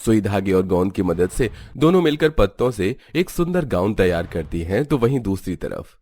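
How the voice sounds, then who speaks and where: Male narrator for a family cartoon tale, lowish voice, very dry close sound. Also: very animated, normal speed, a little mellow, no echo. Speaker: story narrator.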